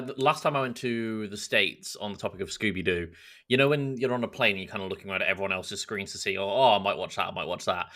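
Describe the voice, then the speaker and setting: A man's voice: fairly low pitch, bright, forceful tone. A man co-hosting a podcast in a casual, conversational style. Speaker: podcast co-host. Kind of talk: casual chat.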